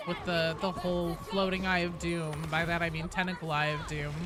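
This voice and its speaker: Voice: mid-range voice, little pitch movement, moderate pace, background noise. A game streamer chatting casually and playfully with viewers, informal and prone to swearing. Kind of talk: casual livestream chat with viewers.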